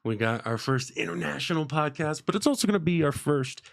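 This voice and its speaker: A man's voice: fairly high pitch, animated, brisk, gravelly. A young American man hosting a podcast in a radio studio, rambling casually with lots of fillers and an upbeat tone. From United States. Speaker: podcast host. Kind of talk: casual chat. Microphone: studio microphone with headphones.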